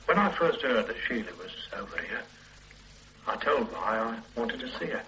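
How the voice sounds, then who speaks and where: An ordinary older husband and grandfather in a radio drama, speaking in a plain, worn-down way. His voice sits in a middling pitch with a bright, clear edge, and faint room noise lies beneath him. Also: expressive, moderate pace, low-quality recording. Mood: reflective. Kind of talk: radio play acting.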